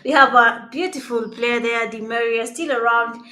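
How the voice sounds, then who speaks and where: A Kenyan woman whose first language is Swahili, giving live esports commentary in a conversational, hesitant way with pauses. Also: fairly high pitch, steady tone, sharp tone. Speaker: esports caster. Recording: roomy, echoing sound.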